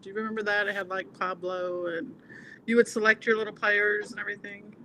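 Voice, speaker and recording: A woman's voice: medium pitch, little pitch movement, brisk, sharp tone, a little hiss or hum, poor audio. A woman from the South in her sixties, chatting and telling stories in a self-mocking way. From American South. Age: 60s. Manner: chatty storyteller, self-deprecating. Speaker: trader on a panel. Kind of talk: casual chat.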